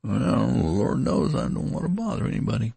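Male reader doing a tattered, wounded rustic soldier: raised, rasping voice, rambling folksy speech, audiobook. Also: fairly low pitch, huge pitch swings, moderate pace, mellow, no echo, pitched above the performer's own voice, heavily acted. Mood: slurred, pleading. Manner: rambling folksy dialect, slurring as he weakens.

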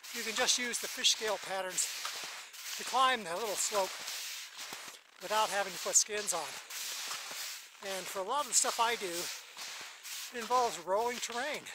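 A middle-aged man on skis in a snowy meadow, casually explaining his gear in a relaxed way with fillers, talking to an action camera at arm's length. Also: sits high, very noisy. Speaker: backcountry skier reviewing gear. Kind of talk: casual outdoor vlog talk. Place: open snowy meadow outdoors. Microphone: action camera held at arm's length.

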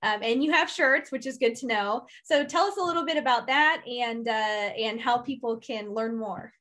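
A young woman with a fairly high voice hosts a casual interview over a video call. She is upbeat and encouraging, with a giggly way of talking. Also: normal speed, fairly bright tone. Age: young adult. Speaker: interviewer, host.

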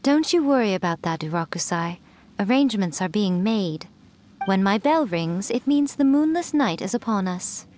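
A woman's voice: mid-range voice, lively intonation, normal speed, a little mellow, slight background noise, lightly acted. A woman voicing a sly, centuries-old fox spirit priestess who teases and enjoys herself, in a video game. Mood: reassuring. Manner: teasing, amused, playful.

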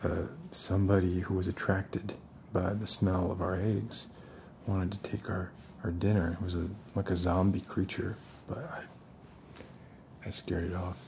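A man in his forties playing an unstable post-apocalyptic survivor in an ASMR roleplay, coaxing softly in halting, rambling phrases. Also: sits low, flat delivery, a little hiss or hum. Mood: weary, reassuring.

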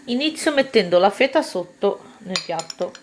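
A woman's voice: medium pitch, lively intonation, moderate pace, slight background noise. A woman talking viewers through a home cooking recipe step by step in a casual, chatty way. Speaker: cooking vlogger. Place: home kitchen table, indoors.

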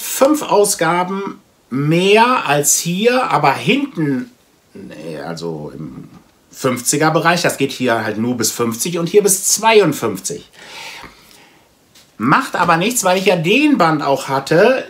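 A man in his forties from Germany chatting casually to camera as a vlogger, rambling and thinking aloud. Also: on the high side. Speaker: comic book vlogger. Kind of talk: casual chat to camera, reviewing a comic haul. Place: small room at home with packed shelves, indoors.

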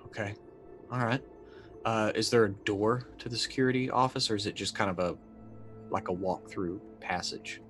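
A man's voice: lowish voice, normal speed, a bit bright. A middle-aged man playing a tabletop roleplaying game, casually improvising what his character does, hesitant and muttering as he thinks. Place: indoor room, online video call. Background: faint music.

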